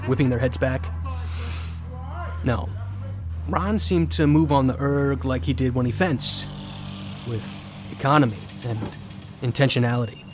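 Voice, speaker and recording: A man plays a privileged young college rower telling his own story in an audio drama. He is reflective and confiding, in a mid-pitched voice. Music plays clearly behind him. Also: close to an everyday voice. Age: young adult. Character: privileged young male college novice rower, confessional narrator. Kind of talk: first-person audio drama narration.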